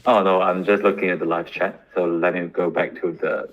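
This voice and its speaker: A man with a very low-pitched voice hosts a livestream session and reads out questions from the chat. He talks in a relaxed, chatty way, often with loose fillers. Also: moderate pace, muffled recording. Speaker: host reading questions from the live chat. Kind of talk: livestream AMA.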